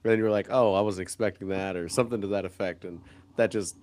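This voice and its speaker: A man's voice: low voice, fairly even intonation, fast, darkish tone, no room sound. A man on a podcast panel, joking around and answering back in a playful way. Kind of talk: casual panel chat.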